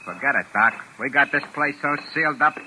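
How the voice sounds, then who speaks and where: An actor playing a tough, none-too-bright American gangster's henchman in a 1940s radio drama. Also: mid-range voice, animated, fairly quick. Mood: gruff. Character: tough male gangster henchman, gruff, bossy, dim underling. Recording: noisy old 1940s radio recording.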